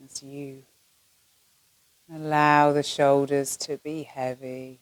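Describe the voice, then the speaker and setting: A woman with a low voice leads a guided yoga nidra meditation. She speaks very slowly and gently, leaving long pauses between soothing phrases. The recording is close and dry, with little sense of the room. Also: level tone, no echo.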